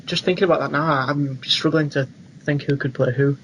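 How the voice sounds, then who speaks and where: A man's voice: fairly high pitch, steady tone, fairly quick, fairly bright tone, slight background noise, rough recording. A young British man co-hosting a podcast, talking informally and agreeably in casual chat. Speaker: podcast co-host. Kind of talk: casual film and game chat.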